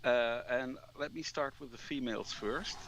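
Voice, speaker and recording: A man's voice: mid-range voice, moderate pace, vocal fry, a bit bright. A man from Germany with a German accent chatting as a guest, self-deprecating. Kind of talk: casual chat. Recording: faint background noise.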